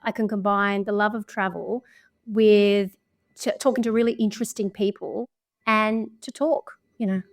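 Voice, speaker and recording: Chatty Australian woman, mid-pitched, loves a good story, laughs easily. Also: steady tone, normal speed. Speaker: podcast guest, travel creator. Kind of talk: casual storytelling chat.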